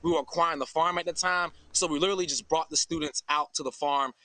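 A man's voice: on the high side, fairly even intonation, fast, pushed and bright, no echo. An American man in his thirties giving an earnest, informal explanation in a live interview over a video call. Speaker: farm founder and interview guest. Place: outdoors under an open farm shelter.

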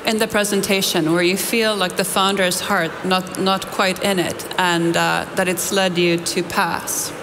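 A woman in her forties from Finland, moderating an onstage conference interview with articulate, reflective questions. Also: medium pitch. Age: 40s. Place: large conference hall stage. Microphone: headset mic. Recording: reverberant hall with background noise.

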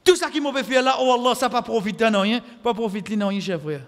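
A man's voice: very high-pitched, brisk. A middle-aged man with a Mauritian Creole accent preaching a sermon, exhorting with impassioned repetition and quoting Arabic. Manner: impassioned, exhortative, repeating phrases for emphasis. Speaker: preacher giving a Friday khutbah. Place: mosque, indoors at the pulpit. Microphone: microphone on a stand, close. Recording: echoey hall with long reverb.

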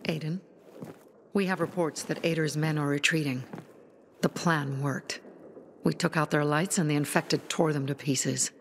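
Low-voiced, determined resistance leader. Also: female voice, fairly even intonation, a little slow, slight background noise. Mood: grave, resolute. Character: determined young woman, resistance leader rallying her people. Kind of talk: video game voice acting.

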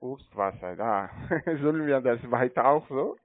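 A man with a foreign accent on a sales call, speaking hesitantly in halting non-native phrasing. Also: mid-range voice, animated, no room sound. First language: not native German speaker. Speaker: cold-calling online trading agent. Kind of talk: unscripted phone sales call. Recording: tinny narrow phone line.

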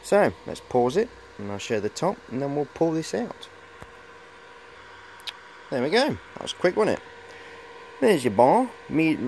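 A man's voice: medium pitch, darkish tone, slight background noise, low-quality recording. A middle-aged man giving a casual, hesitant, rambling show-and-tell on a handheld camera in a cluttered workshop. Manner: rambling, hesitant, repeats "of course". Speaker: hobbyist presenter.

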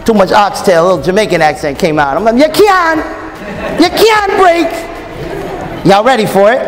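A man's voice: sits high, moderate pace, background noise. A man in his thirties preaching at a conference in a large ballroom, joking and calling out to the crowd for answers. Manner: animated, joking, call-and-response with the crowd. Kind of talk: lively conference sermon. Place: large hotel ballroom with a live audience. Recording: long hall reverb, faint crowd.

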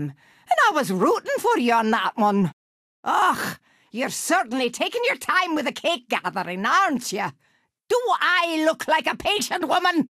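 Crotchety old Scottish witch, swooping high. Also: a bit bright, dry recording, studio quality, full character voice. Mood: impatient, goading. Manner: theatrical, scolding, mock-sweet endearments. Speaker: video game character voice. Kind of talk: animated video game voice acting.

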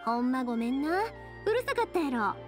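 Anime game daughter role: young, caring, Kansai accent, bright-spirited chatter, high and very varied pitch. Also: female voice, fairly quick, music in the background. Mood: warm. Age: young adult. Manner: expressive, friendly, chatty. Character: cheerful, caring young woman fireworks maker, devoted daughter.

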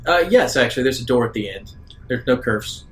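A man narrating an improvised tabletop roleplaying game as game master, in a measured way with hesitant pauses. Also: medium pitch, animated, faint music behind. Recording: roomy echo, faint background noise.

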